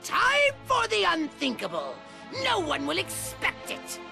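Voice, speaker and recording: Pompous little villain, animated game: nasal, theatrical, drawn-out delivery with a gravelly creak over music. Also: male voice, very high-pitched, exaggerated voice. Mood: despairing.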